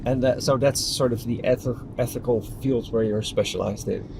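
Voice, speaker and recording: A man's voice: medium pitch, steady tone, normal speed, vocal fry. A man hosting a casual interview, chatty and hesitant, restarting his sentences and often saying "yeah" in agreement. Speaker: interview host, show founder. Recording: background noise.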